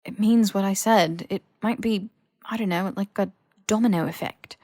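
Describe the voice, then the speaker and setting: A woman plays an earnest young British woman in a fantasy audiobook. She sounds cautious and analytical, in a mid-pitched voice recorded dry. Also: moderate pace, darkish tone, no echo, natural voice. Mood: worried, reasoning. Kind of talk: audiobook character dialogue.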